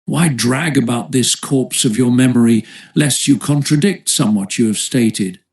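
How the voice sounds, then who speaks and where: A man narrating an audiobook of a nineteenth-century essay in a measured, formal literary manner. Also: medium pitch, darkish tone.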